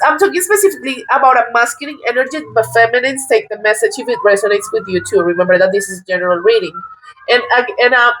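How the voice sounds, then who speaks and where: A woman from Colombia with a Colombian accent, giving a casual tarot reading in a halting, thoughtful way, often restarting her words. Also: fairly high pitch, a bit bright, slight background noise, rough recording. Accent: Colombian Spanish. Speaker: tarot reader.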